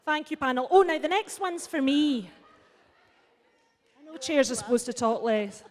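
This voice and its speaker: A woman's voice: high voice, expressive, normal speed. A woman chairing a festival panel, frank and jokey in her delivery. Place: large hall at a festival. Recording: reverberant hall.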